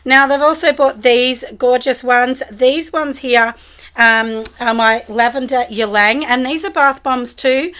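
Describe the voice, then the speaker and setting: A woman who makes soap, chatting with viewers as she packs orders in her small studio, husky and hoarse from a cold. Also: sits high, a little slow, a bit bright. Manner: chatty, talks straight to the viewers. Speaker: soap maker running a small business. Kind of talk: casual chat while packing orders. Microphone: camera set low on a surface.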